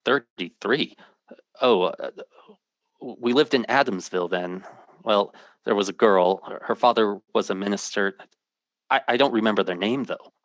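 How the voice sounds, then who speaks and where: A man's voice: fairly low pitch, moderate pace, dry recording, close to an everyday voice. An actor playing a worried, impatient American man who searches for his missing brother and narrates it in radio drama style, earnest and clipped. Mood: hesitant. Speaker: lead actor and first-person narrator.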